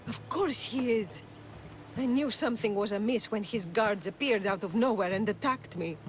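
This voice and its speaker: An actress playing a composed village doctor in a dramatic video game set in ancient Greece. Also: female voice, medium pitch, moderate pace, natural voice. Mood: wary. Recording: game cutscene with music behind.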